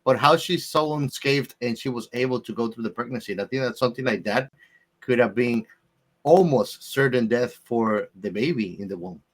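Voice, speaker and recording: A man's voice: mid-range voice, moderate pace, rough recording. Emphatic man in casual film discussion. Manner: emphatic, repeats phrases to drive points home. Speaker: movie discussion podcast co-host.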